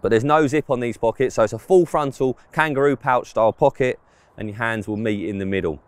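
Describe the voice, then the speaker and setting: A man's voice: medium pitch, a little fast, no room sound. A man, giving a casual, plain-spoken product review to camera, outdoors on a close mic. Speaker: presenter of fishing clothing reviews. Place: outdoors in an open grass field.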